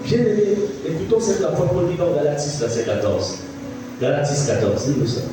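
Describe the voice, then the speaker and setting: A man's voice: a little high, brisk. A pastor in his fifties preaching a sermon, emphatic, repeating phrases and putting questions to the congregation. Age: 50s. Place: church. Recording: echoey church sound from a distant mic with music.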